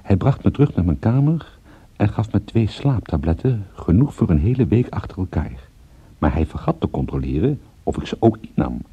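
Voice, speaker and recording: Deep, gravelly detective narrator. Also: male voice, big pitch swings, moderate pace, dark tone, no room sound, muffled recording, exaggerated voice. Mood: wry, suspenseful. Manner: dry, intimate, storytelling. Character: wry male private detective narrating his own story. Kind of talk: first-person radio drama narration.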